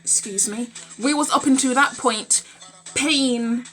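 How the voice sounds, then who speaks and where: A woman's voice: on the high side, slow, a bit bright, soft background music. A British woman around thirty talking casually and bluntly to camera, emphatic and opinionated, recorded on a phone in a room at home. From United Kingdom. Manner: blunt, emphatic, repeats phrases for emphasis, swearing. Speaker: vlogger. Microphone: phone at arm's length.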